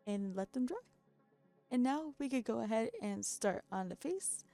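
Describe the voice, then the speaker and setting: A woman's voice: a little high, dark tone. A woman narrating her doll customizing in a casual voice-over. She is very tired after being up all night, her voice keeps cracking, and she chats along with frequent self-deprecating laughs.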